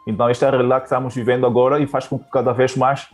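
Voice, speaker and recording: Guest teacher from Angola, male, mid pitch, halting delivery full of restarts. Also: level tone, faint music behind. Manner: hesitant, many fillers and restarts. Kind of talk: interview answers.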